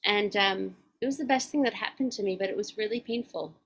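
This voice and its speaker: A woman in her forties co-hosting a casual podcast. Her voice sits at a middle pitch, and she speaks earnestly, building her thoughts in a measured, reflective way. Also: husky, rough recording. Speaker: podcast co-host. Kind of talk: casual chat.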